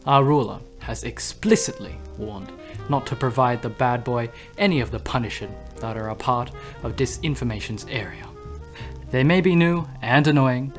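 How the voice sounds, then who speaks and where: A man's voice: medium pitch, close to an everyday voice. A man playing a smug, theatrical demon who hosts a news broadcast in hell, speaking with suave, sardonic mock politeness over music. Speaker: radio news host. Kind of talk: scripted comedic radio broadcast. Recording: music under the voice.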